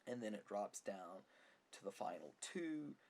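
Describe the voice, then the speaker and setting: A soft-spoken ASMR creator giving rambling, opinionated, mildly sarcastic film commentary, at times dropping to a whisper. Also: deep voice, sing-song, unhurried, gravelly, breathy edge.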